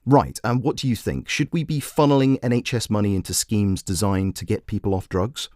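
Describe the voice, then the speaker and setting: A man voicing a brisk female radio phone-in host who questions and persuades in a measured way. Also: fairly low pitch, a little mellow, dry recording, clean studio sound, close to an everyday voice. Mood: brisk, professional. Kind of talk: talk radio presenting.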